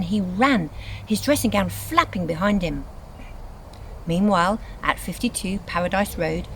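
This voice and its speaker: A woman reads scripted storybook narration in an expressive, dramatic storytelling manner. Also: mid-range voice, a little hiss or hum, rough recording. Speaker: reader of a children's book.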